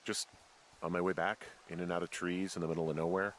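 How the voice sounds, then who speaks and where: A man's voice: low-pitched, flat delivery, fast talker, slight background noise, close to an everyday voice. An American man playing a wry, wary middle-aged fire lookout in a story video game, speaking in a casual, dry, hesitant way. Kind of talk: naturalistic game dialogue. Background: game music.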